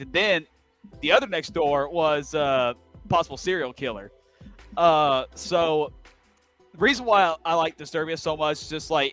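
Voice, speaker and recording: Easygoing young man, slightly higher voice. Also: fairly bright tone. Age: 20s. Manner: easygoing, talks with filler words. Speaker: podcast co-host. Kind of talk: casual chat.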